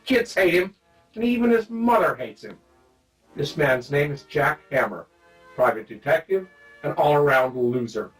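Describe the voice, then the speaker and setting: An actor playing a mock-serious, dramatic announcer introducing an old-time radio detective serial. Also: male voice, fairly high pitch. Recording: distant voice over faint music. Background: faint music.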